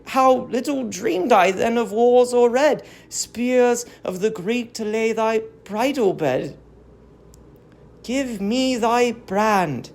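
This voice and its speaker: A woman acts out an aged fallen queen in a Greek tragedy. She laments with commanding sorrow, in a slow, raised voice with a creak in it. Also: fairly high pitch, darkish tone. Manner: declamatory, lamenting. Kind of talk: classical tragedy dramatic reading.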